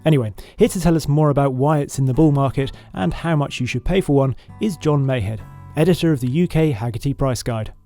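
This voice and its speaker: A man narrating in a smooth, measured scripted voice-over. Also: medium pitch, dark tone. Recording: voice-over with music underneath.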